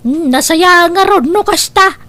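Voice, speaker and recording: A woman's voice: extremely high pitch, measured pace, bright, forceful tone, exaggerated voice. A woman playing a money-minded, scheming mother in an Ilocano family drama. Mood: calculating, insistent. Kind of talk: Ilocano radio-style family drama acting.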